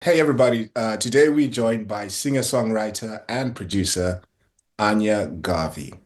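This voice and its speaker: A man hosting a casual interview podcast, asking questions in a relaxed way with plenty of fillers. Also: lowish voice, normal speed. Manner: relaxed, many fillers like um and you know. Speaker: podcast host.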